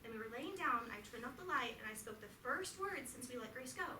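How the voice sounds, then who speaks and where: A woman's voice: a little high, expressive, a little fast, noisy background, natural voice. A woman reading the part of a frank, affectionate middle-aged wife in a staged play reading. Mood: earnest. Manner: earnest stage reading, rising to exasperated outbursts. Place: theatre auditorium. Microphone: distant stage pickup. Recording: echoey theatre taped from far off.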